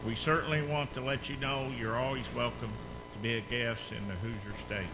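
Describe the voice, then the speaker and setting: A man's voice: medium pitch, fairly even intonation, a little fast, noisy background. A man acting as emcee, giving formal, welcoming stage introductions at a conference. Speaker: state commander, emcee. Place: conference hall.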